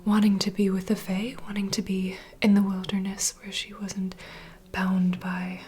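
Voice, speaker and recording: A woman playing a knowing, secretive vampire bartender in an ASMR roleplay, speaking in a soft breathy whisper as an unhurried, gentle mentor. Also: mid-range voice, flat delivery, lightly acted. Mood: hushed storytelling. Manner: unhurried, confiding storyteller. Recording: close whisper over clear ambient noise.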